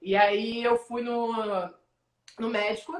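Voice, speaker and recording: A young adult Brazilian woman, a sports announcer, telling animated stories in a casual live-stream interview. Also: a little high, echoey room. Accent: Brazilian Portuguese. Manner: animated storyteller, quick asides. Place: home, online video call.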